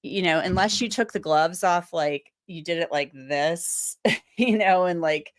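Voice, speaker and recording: A woman with a fairly low voice, a little strained from a cold, talks through viewer comments in a loose, chatty way, often restarting her sentences. The sound is close and dry. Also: measured pace, no echo. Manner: chatty, loose and thinking aloud, with many restarts and asides. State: recovering from a cold, voice a bit strained. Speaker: legal commentator and livestream host. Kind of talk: casual livestream chat reading viewer comments.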